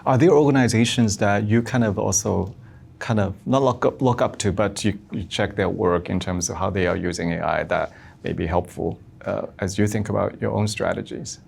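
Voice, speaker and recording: Male academic interviewer, lowish gravelly voice, halting questions delivered in short fragments. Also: darkish tone, slight background noise. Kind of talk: moderating an online conversation.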